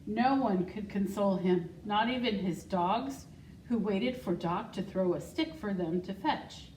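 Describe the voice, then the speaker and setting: A woman reading a children's picture book aloud with measured, deliberate phrasing. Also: mid-range voice, darkish tone. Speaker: school secretary, guest storybook reader. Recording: roomy, distant mic, faint noise.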